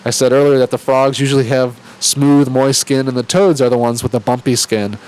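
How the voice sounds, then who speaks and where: A man's voice: mid-range voice, fairly even intonation, a little fast, a little mellow, rough recording. A man speaking as a zoo keeper, giving a casual, explanatory educational presentation. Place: zoo exhibit area.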